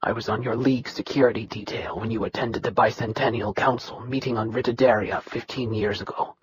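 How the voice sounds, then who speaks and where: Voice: fairly even intonation, brisk, noisy background, natural voice. A narrator doing a cold, bitter young man. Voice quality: low, quiet, level and emotionless. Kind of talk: audiobook character reading.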